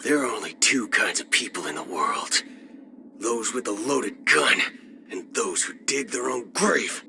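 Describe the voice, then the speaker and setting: A man's voice: lowish voice, expressive, measured pace, gravelly, noisy background. A man playing a cocky cyborg cowboy outlaw in a video game, with a swaggering, folksy drawl. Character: cocky cyborg cowboy gunslinger outlaw, a male galaxy ranger. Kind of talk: video game character voice acting. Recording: music behind the voice. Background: music.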